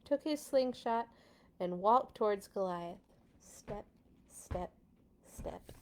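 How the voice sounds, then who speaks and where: A woman hosting a children's read-aloud, expressive, with dramatic playful delivery and casual asides. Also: medium pitch, slow pace, a little hiss or hum. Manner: dramatic, playful sound effects.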